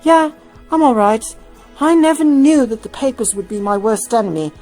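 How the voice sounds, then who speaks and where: Young female zoo manager played by a woman reader, earnest and high-voiced, for a story reading with music. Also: expressive, normal speed, warm tone, close to an everyday voice. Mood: tired.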